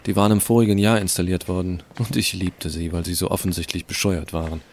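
A man's voice: deep voice, fairly even intonation, fast talker, darkish tone. A man narrating as a sardonic, self-assured teenage hacker in a first-person audio drama, casual and wry. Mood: smug. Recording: clearly audible hiss.

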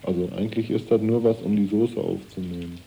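Very dark, gravelly flat-voiced man. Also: on the low side, brisk, slight background noise, poor audio. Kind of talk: casual chat.

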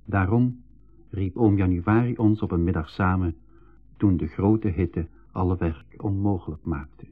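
Radio drama: gloomy young Flemish brother and narrator, husky, on a narrow phone-like recording. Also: male voice, low-pitched, measured pace. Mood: sombre narrating. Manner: pessimistic. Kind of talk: 1980s school radio drama acting and narration.

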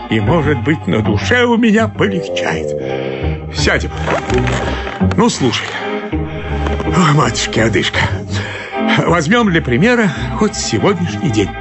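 Frantic, exasperated middle-aged civil servant. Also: male voice, on the high side, heavily acted. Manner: theatrical, pleading, ranting in lists of complaints. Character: exhausted, exasperated married civil servant, self-pitying and frantic. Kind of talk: radio play acting of a classic comic story. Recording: studio radio play with music.

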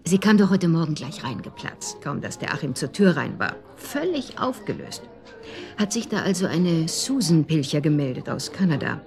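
An actress playing a gossipy yet guarded office worker in a radio crime drama, offhand and dryly sardonic. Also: female voice, sits low, very animated, moderate pace, darkish tone, faint music behind.

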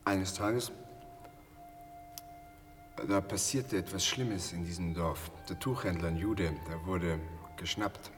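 Tiefer, makabrer Erzähler in halligem Raum. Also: male voice, sits low, slow pace, pauses often. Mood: grimly confiding. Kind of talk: German radio play acting. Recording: echoey, distant, music behind.

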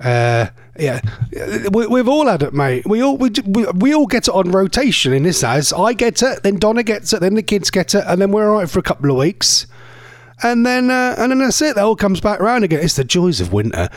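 A man's voice: high-pitched, sing-song, brisk, no room sound, studio quality. A middle-aged man hosting a radio show, rambling through jokey home stories with lots of restarts. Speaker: radio host. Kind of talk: casual radio chat. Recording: music playing under voice.

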